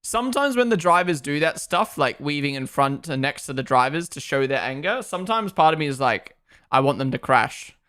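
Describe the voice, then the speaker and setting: A young man with a hybrid Kiwi-Australian accent, chatting through a live racing watchalong. His voice is bright and clear, fairly high for a man, and he talks loosely with quick asides and sudden loud reactions. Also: lively intonation. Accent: mixed New Zealand and Australian. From New Zealand. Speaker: streamer hosting a watchalong. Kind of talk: casual live commentary, reading chat.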